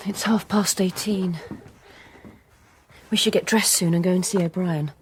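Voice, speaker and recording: An actress playing a young rebel lover, intimate, direct and blunt, in a radio drama. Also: female voice, on the low side, fairly even intonation, takes it slowly, background noise. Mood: intimate, wary.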